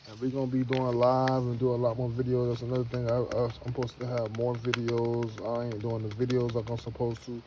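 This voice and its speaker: A man's voice: mid-range voice, monotone, a little fast, few pauses, background noise. A man in his early thirties talking casually to camera, rambling and repeating himself, then giving an earnest motivational pep talk. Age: 30s. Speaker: homestead vlogger.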